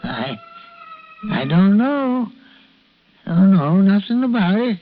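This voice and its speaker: A slow, halting, vengeful widower. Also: male voice, high-pitched, very animated, exaggerated voice. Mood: evasive, confused. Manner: halting, folksy, muttering, repeats himself. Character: vengeful, rambling widower with a failing heart. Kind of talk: 1940s radio acting. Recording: dull vintage radio recording, faint music.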